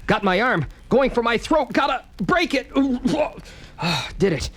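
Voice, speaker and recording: A man's voice: very high-pitched, big pitch swings, measured pace, a bit bright, heavily acted. An actor playing a young, wisecracking male superhero in a 1970s radio play, upbeat and quippy, talking to himself. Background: music score.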